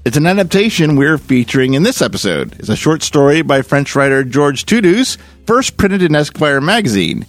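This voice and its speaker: A man's voice: fairly high pitch, sing-song, normal speed, soft background music. A man hosting a show, reading a scripted introduction. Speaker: podcast host.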